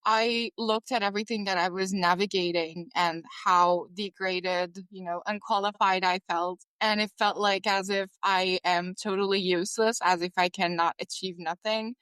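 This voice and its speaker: A woman's voice: mid-range voice, fairly even intonation, moderate pace, hardly any pauses, a bit bright, smooth, no echo. A young woman from Turkey, a guest on a podcast, speaking earnestly with many fillers. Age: young adult. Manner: earnest, filler-heavy with "like" and "you know". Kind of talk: casual interview.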